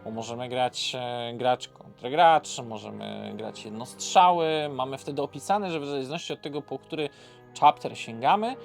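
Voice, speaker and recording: A man's voice: mid-range voice, moderate pace, gravelly. A man presenting a board game in an informal, unscripted way, conversational, posing questions and answering them himself. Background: background music.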